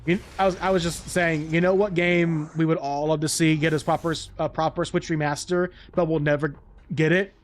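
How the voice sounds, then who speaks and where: A man's voice: fairly high pitch, flat delivery, brisk. A man hosting a casual let's-play, chatting and rambling with a co-host while he plays, laughing often. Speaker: gameplay host.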